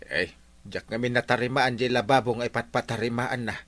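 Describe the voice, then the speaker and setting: An actor with an Ilocano accent playing a hapless, forgetful, henpecked village captain in a radio comedy drama, whining and sputtering. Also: male voice, mid-range voice, steady tone, a little fast, a bit bright, no room sound, close to an everyday voice. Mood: exasperated.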